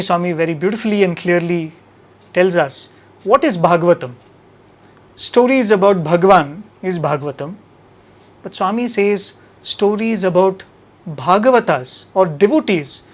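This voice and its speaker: A man with an Indian accent hosting a devotional satsang, speaking in a measured, emphatic way with rhetorical questions and repeated phrases. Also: high voice. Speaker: host of a devotional radio satsang. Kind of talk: spoken devotional talk to an audience.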